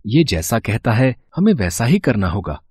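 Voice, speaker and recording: A man voicing a pious, gentle husband who reasons earnestly, in a storybook tale. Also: mid-range voice, sing-song, moderate pace, slightly rough, dry recording. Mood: reverent. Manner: earnest, persuasive.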